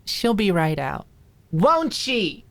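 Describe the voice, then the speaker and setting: A woman's voice: medium pitch, big pitch swings, takes it slowly, a bit bright, pitched above the performer's own voice, exaggerated voice. A woman voicing a bossy, knowing young woman in an audiobook drama, with a firm, teasing, no-nonsense manner. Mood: brisk, resolute.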